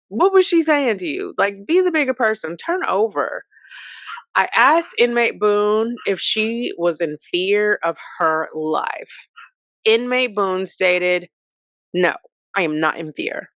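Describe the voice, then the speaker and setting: A woman's voice: medium pitch, fairly bright tone, no room sound. An American woman hosting a commentary channel, reading reports dramatically and breaking into sassy, conversational asides.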